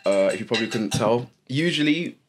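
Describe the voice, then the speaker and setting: A young man, born to a Ghanaian family, co-hosting a casual podcast and teasing his friend with loud mock outrage. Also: mid-range voice, expressive, brisk, noisy background. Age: young adult. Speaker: podcast co-host. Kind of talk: casual banter.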